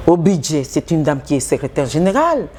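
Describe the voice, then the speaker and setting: Low, mellow-voiced official woman. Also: sing-song, a little hiss or hum. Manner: measured, official, with hesitant fillers. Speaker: secretary general of the public service. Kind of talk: answering interview questions.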